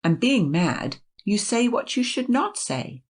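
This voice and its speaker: A woman's voice: medium pitch, big pitch swings, a little slow, slightly rough, voice pushed up. Woman voicing a patient, reasoning doctor.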